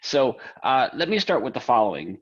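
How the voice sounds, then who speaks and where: A man appearing as a guest lawyer on a podcast, explaining things in a relaxed, conversational way with fillers. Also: mid-range voice, moderate pace, husky, dull audio. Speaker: guest attorney. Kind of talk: interview answer.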